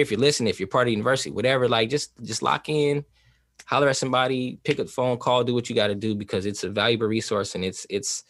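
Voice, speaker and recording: Fast-talking American man, age forty. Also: lowish voice, no room sound, clean studio sound. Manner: rambling, animated storytelling with slangy phrasing. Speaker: interview guest. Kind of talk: reflective, informal interview talk.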